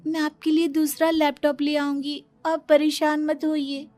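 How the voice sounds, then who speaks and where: A woman playing a meek young daughter-in-law in a dramatized moral story, soft, pleading and deferential. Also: high voice, little pitch movement, unhurried, dry recording.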